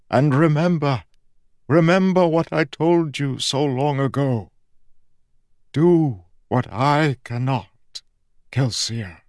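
A man's voice: mid-range voice, no room sound, clean studio sound, voice pushed up. A man voicing a fading, solemn ancient god. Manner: soft, slow and grave, fading.

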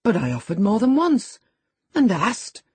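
Woman voicing an awkward young countryman, husky. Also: mid-range voice, very animated, moderate pace, mellow, dry recording, pitched above the performer's own voice. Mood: hurt, protesting. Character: shy, rough young country man, awkward and wounded. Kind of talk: classic novel character dialogue in audiobook reading.

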